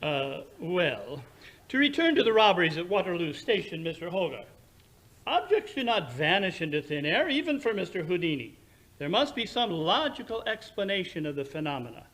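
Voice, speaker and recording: Man acting as English gentleman detective, high animated voice, precise, formal, self-assured, radio play style. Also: moderate pace, heavily acted. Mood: inquisitive. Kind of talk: old-time radio drama acting.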